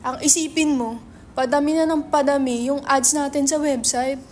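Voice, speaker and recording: A woman's voice: high voice, fairly even intonation, moderate pace, slight background noise, close to an everyday voice. A woman with a Filipino accent acting a brash, sarcastic, money-minded young entrepreneur in a dramatic reading, mixing English into Tagalog. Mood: insistent. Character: brash, money-minded male website entrepreneur, teasing his friend. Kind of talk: dramatic reading of a stage script.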